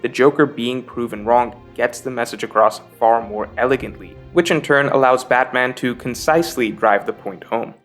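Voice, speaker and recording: A man's voice: mid-range voice, moderate pace, hardly any pauses, fairly bright tone, soft background music. A man delivering scripted narration for a film analysis video essay as its host, explaining in an articulate way.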